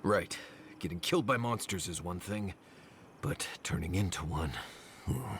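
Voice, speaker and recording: A man's voice: sits low, expressive, measured pace, a bit bright, slight background noise, full character voice. A man acting a grave, reserved male companion in a game cutscene, terse and sombre. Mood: sombre, wary.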